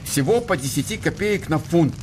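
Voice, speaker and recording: A man's voice: on the high side, expressive, normal speed, a little hiss or hum, natural voice. An actor playing an anxious, whining craftsman who complains and protests in a radio drama. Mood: plaintive complaint. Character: complaining German craftsman, anxious about money.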